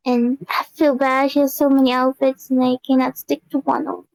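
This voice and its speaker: A woman's voice: level tone, a little fast, gravelly, a little mellow, no echo, low-quality recording. A young woman chatting casually on a live stream, rambling and giggling often. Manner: giggly, rambling, high-pitched.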